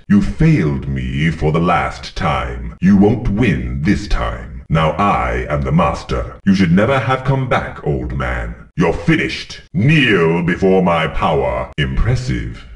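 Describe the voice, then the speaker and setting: An armored dark lord, cavernous and slow. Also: male voice, recorded from a distance, heavily acted. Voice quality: deep, slow, commanding. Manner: cold, measured threats. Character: menacing armored male dark lord villain. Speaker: video game character voice. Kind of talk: game voice clips.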